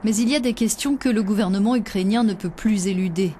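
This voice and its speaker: A woman narrating a television news report in a measured, scripted voice-over. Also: medium pitch, mellow, a little hiss or hum. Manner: measured, clear news delivery.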